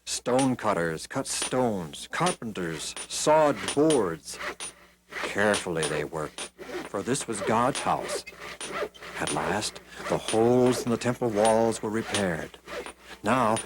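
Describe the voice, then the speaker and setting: A man narrating scripted Bible stories for children in an expressive, deliberate storytelling manner. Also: lowish voice. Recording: music behind the reading. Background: background music.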